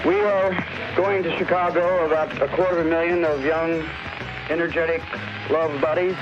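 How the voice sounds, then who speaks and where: A man's voice: a little high, steady tone, normal speed. An activist man making a playful public announcement, phrase by phrase. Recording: dull archival sound, music behind.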